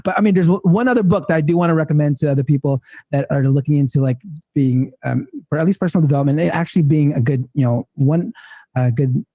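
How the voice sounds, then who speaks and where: A man's voice: on the high side, fast talker, mellow, no room sound. A man in his thirties, a guest in a casual interview, talking in a rambling way full of fillers and restarts. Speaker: guest entrepreneur.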